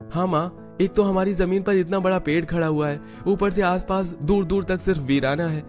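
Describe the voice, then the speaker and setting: A man's voice: high-pitched, fairly even intonation, moderate pace, darkish tone, soft background music, pitched above the performer's own voice, natural voice. A man voicing an earnest young husband and son in a cartoon story, explaining and reasoning. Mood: apologetic, reasoning.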